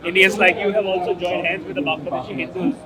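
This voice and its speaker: A man doing street interviews at a crowded outdoor festival, asking brisk prompting questions. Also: high voice, fairly even intonation, low-quality recording. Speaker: street reporter. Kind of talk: vox-pop interviewing. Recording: loud crowd and music outdoors.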